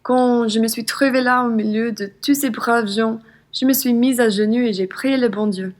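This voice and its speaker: A woman's voice: on the high side, steady tone, normal speed, lightly acted. A young woman playing a sincere, simple-hearted traveller in a French radio play. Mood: soothed.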